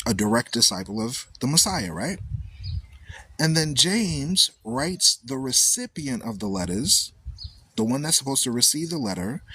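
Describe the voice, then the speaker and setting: Male talk host, husky tone, animated preacher-style delivery. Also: medium pitch. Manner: animated storytelling, repeats "right?" often. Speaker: radio show host.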